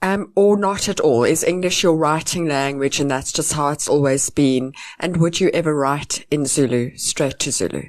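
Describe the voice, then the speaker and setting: A woman's voice: sits low, normal speed, hardly any pauses, a little mellow, dry recording. A woman co-hosting a podcast interview, asking curious, probing questions. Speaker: podcast co-host.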